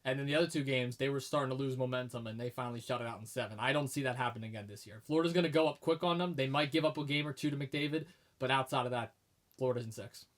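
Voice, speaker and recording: Fast-talking opinionated man. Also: medium pitch. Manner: opinionated, emphatic sports takes. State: losing his voice. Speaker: podcast co-host. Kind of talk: casual sports talk.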